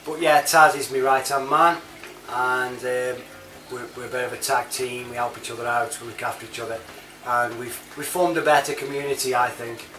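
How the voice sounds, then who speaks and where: A man's voice: mid-range voice, steady tone, moderate pace, few pauses, sharp tone. A British man giving a casual, rambling monologue in a chatty, matey manner full of informal slang. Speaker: online reviewer and CB chat app user. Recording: roomy, distant mic, clear background noise.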